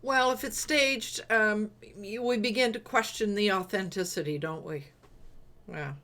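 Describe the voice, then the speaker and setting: A woman from Canada with an East Coast accent, hosting a casual live-stream discussion, unhurried and laughing often. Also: mid-range voice, fairly bright tone. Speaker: host.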